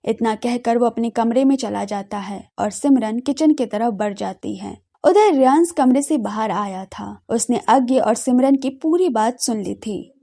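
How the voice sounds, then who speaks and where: ऊँची, मुलायम आवाज़ वाली महिला कथावाचक. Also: female voice, fairly high pitch, measured pace, gravelly, few pauses, dark tone. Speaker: narrator. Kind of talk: scripted audio drama narration.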